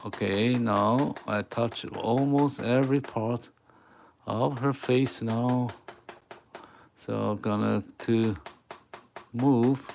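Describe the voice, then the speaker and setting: A man whose first language is Mandarin Chinese, speaking English with a Mandarin accent, teaching chalkboard drawing live in halting, word-searching English. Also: mid-range voice, steady tone, gravelly, darkish tone, a little hiss or hum. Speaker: art teacher.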